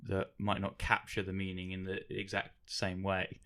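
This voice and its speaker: Low-voiced, chatty English man. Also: completely flat delivery, normal speed, bright, forceful tone, clear, resonant tone. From rural England. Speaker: podcast host. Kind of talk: casual conversational interview.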